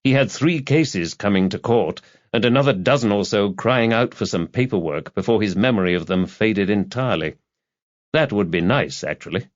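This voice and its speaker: A man's voice: fairly low pitch, animated, normal speed, dry recording, muffled recording. A man reading a detective thriller as an audiobook narrator, in scripted, dramatised narration. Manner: dramatised reading with character voices.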